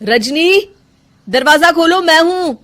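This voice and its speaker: Woman as young husband, high-pitched, animated, earnest, insistent, questioning. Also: takes it slowly, exaggerated voice. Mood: agitated. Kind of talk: dramatized moral story.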